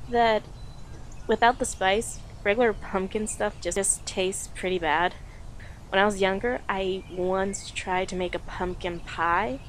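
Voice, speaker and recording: A playful young girlfriend played by a woman chats intimately to her date in an audio roleplay. Her mid-pitched voice moves at a leisurely pace, teasing and affectionate. Outdoor ambience is clearly heard behind her. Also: a little hiss or hum, lightly acted. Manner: teasing, giggly, conversational with pauses. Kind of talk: intimate audio roleplay, one-sided conversation.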